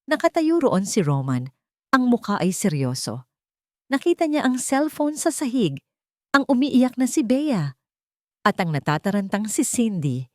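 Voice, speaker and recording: A woman's voice: on the high side, big pitch swings, a little slow, no room sound, clean studio sound. A woman narrating a scripted dramatic love story.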